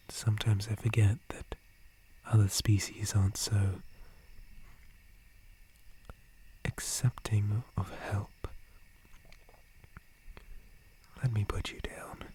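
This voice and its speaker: A man voicing a gentle, polite dryad guardian in a breathy, formal whisper for an ASMR roleplay. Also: fairly low pitch, a little hiss or hum. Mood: gentle, concerned. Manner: formal, courteous, hesitant, softly hushed. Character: gentle, polite male dryad, forest guardian tree spirit.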